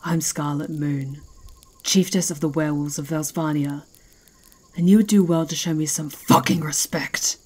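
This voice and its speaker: A woman playing a fierce, mocking werewolf chieftain in an ASMR roleplay, speaking in a slow, taunting, imperious way. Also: low voice, steady tone, darkish tone. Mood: stern, proud.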